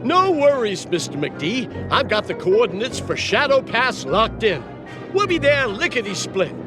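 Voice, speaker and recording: A cheerful, dim-witted cartoon pilot duck chats in a folksy way. The voice is high and animated, goofy and easygoing, over game music. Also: male voice, a little slow, heavily acted. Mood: upbeat. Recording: background music under the voice.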